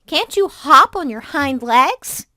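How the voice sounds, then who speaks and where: A woman's voice: high voice, expressive, takes it slowly, pushed and bright, dry recording, studio quality, voice pushed up, heavily acted. A woman voicing a curious, teasing wild rabbit in a storybook, blunt and taunting.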